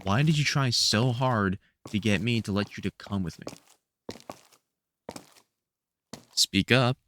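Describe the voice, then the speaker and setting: A man's voice: fairly low pitch, moderate pace, a bit bright, a little hiss or hum, lightly acted. A man playing a protective, sardonic veteran vampire hunter in an audio roleplay, scolding fondly in colloquial speech. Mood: exasperated, teasing. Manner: colloquial, sardonic, scolding mentor with mild swearing. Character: gruff, protective veteran male vampire hunter, exasperated but fond. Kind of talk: scripted audio roleplay, one-sided conversation.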